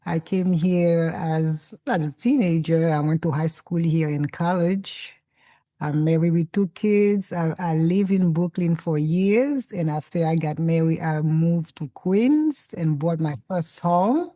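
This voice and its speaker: A woman from Haiti, chatting softly as an interview guest over a video call. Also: fairly low pitch, takes it slowly. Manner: soft-spoken with light laughter. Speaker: guest, travel vlogger. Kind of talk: casual interview chat.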